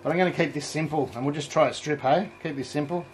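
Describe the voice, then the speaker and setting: A middle-aged man giving a casual hands-on home brewing demonstration, explaining in a relaxed way as he works in an indoor home brewery. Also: on the high side, quick pace, slight background noise. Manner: relaxed, explanatory, thinking aloud while working.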